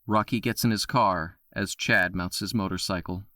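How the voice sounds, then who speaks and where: A man narrates steadily in a lowish voice with a bright, forceful edge. The recording is dry and close. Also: steady tone, moderate pace, no echo. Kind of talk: scripted audio drama narration.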